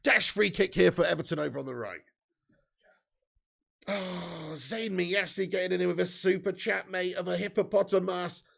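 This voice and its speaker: A man with a hoarse, fading voice, giving sweary, excitable live fan commentary while reading chat. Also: sits high, moderate pace. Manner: swearing, excitable, shouts at chances. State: losing his voice. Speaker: fan watch-along streamer.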